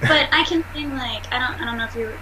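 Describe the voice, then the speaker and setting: A woman voice actress and singer with a nasal voice, talking fast and animatedly in a casual interview over a video call. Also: a little high, a little hiss or hum, rough recording. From United States. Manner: fast, animated, laughs often.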